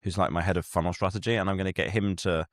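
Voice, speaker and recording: A man hosting a casual interview, talking in an animated, analytical way. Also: deep voice, very fast, no room sound, clean studio sound. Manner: animated, numbers-minded, quotes himself in a lifted voice. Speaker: podcast host.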